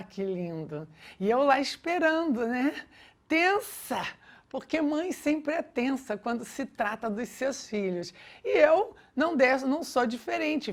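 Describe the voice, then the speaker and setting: A middle-aged Brazilian Portuguese-speaking woman teaching a lesson with personal anecdotes in an emphatic way, acting out reported dialogue. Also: a little high. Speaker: teacher of a religious education lesson.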